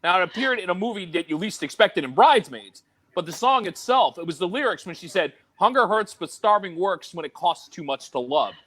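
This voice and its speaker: A man co-hosting a casual podcast, telling stories in an animated way and quick to joke. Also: sits high. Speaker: podcast co-host, DJ. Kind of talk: casual chat.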